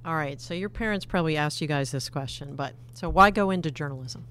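A woman, a newspaper editor, hosting a podcast and guiding the conversation briskly. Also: deep voice, a bit bright, smooth.

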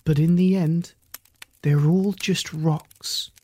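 A narrator voicing a gentle, wise mother otter who speaks calmly and soothingly in a bedtime story. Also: mid-range voice, slow pace, slightly rough. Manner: calm, soothing.

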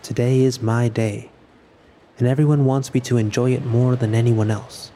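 A man acting a resigned, solitary narrator in scripted audio fiction, speaking in a measured, introspective way about his own final day. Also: medium pitch, fairly even intonation, dark tone, a little hiss or hum, lightly acted. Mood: quiet resignation.

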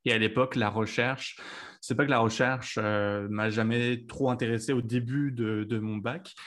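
A man's voice: medium pitch, steady tone, moderate pace, a bit bright. A young man from France, speaking with a French accent from Lyon, answers interview questions as a guest in a hesitant way, with many fillers and restarts. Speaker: guest, PhD student.

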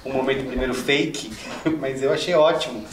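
A man's voice: mid-range voice, fairly even intonation, normal speed, distant mic. Upbeat man in a noisy, echoey room. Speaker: panelist. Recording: echoey room with background noise.

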